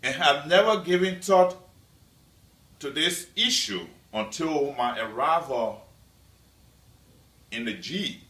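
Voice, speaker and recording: Slow, measured man from Liberia. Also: a little high, lively intonation, pushed and bright. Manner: slow, measured delivery with deliberate pauses. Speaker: student presenter. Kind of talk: prepared class speech. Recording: echoey room, mic at a distance.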